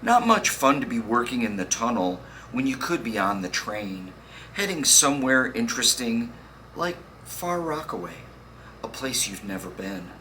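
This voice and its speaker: A man reading poems aloud with deliberate, dramatic phrasing and pauses. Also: medium pitch, very animated, pushed and bright. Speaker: poetry reader. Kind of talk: spoken poetry reading. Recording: faint background noise.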